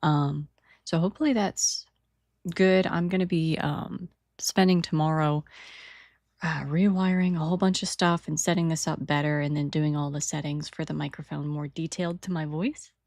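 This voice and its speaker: A woman with a raspy morning voice chatting casually and rambling while she paints a model at her desk, on a new microphone without filters. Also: low voice, fairly even intonation, normal speed, a little mellow, dry recording, studio quality. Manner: rambling, apologetic, trails off mid-sentence. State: early-morning raspiness. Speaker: hobby painter and channel host. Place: home desk setup.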